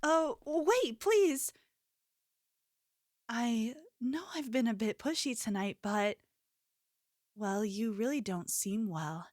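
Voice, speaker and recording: A woman's voice: medium pitch, no room sound, studio quality, close to an everyday voice. A woman playing an obsessive, possessive convenience store cashier in an ASMR roleplay, pleading and unsettlingly sweet. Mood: worried, pleading. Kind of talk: ASMR roleplay, scripted character acting.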